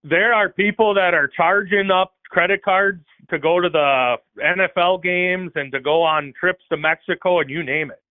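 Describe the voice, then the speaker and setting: A man's voice: high-pitched, normal speed, dry recording. A man calling in to a racing talk show, laying out his argument step by step. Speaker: caller. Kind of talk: casual call-in chat. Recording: dry, tinny phone line.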